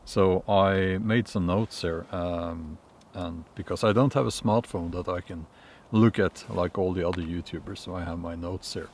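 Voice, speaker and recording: A middle-aged Norwegian man talking casually to camera outdoors in the woods, unhurried and thoughtful, pausing with many fillers and restarts. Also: low-pitched, steady tone, darkish tone, slight background noise. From Norway. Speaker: vlogger.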